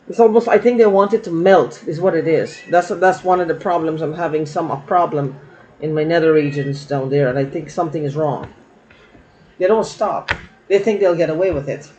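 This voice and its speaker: A woman's voice: deep voice, a little mellow. A woman vlogging in a rambling, aggrieved monologue, circling back and repeating herself. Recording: dull lo-fi recording.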